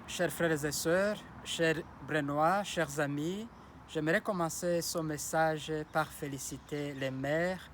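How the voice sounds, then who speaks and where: A man's voice: on the high side, takes it slowly, fairly bright tone, slight background noise. A priest whose first language is Kinyarwanda or Kirundi gives a solemn Sunday homily and repeats his blessing phrases. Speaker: parish priest.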